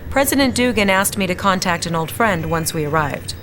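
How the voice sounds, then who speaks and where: Woman playing a brisk army lieutenant, noisy background. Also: lowish voice, expressive, lightly acted. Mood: brisk, businesslike. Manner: crisp, formal military briefing delivery. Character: brisk young female army lieutenant giving briefings. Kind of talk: acted game cutscene briefing.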